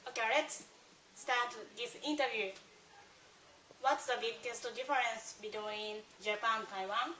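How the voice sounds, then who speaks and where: Higher-voiced woman interviewer, echoey and far. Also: fairly even intonation, a little slow. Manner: brief, soft backchannel murmurs between questions. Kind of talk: reading interview questions. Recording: roomy, distant mic.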